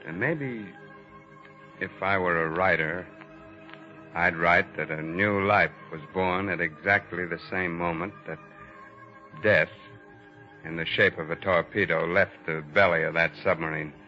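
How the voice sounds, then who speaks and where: An actor playing an earnest, reflective ship's doctor, narrating solemnly and deliberately in a 1940s radio drama. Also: male voice, low voice, faint music behind. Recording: muffled vintage 1940s radio recording.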